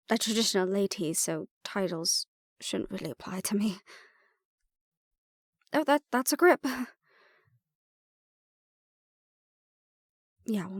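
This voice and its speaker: A woman's voice: medium pitch, no echo, clean studio sound, close to an everyday voice. A woman acting in an audio roleplay as an aristocratic archaeologist, sardonic, self-deprecating and prone to awkward rambling. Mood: flustered, awkward.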